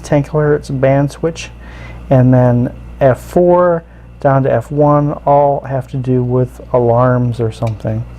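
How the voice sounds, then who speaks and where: Mellow-voiced man giving a casual gadget walkthrough. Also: medium pitch. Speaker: radio hobbyist host reviewing gear. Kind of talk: casual unscripted product walkthrough.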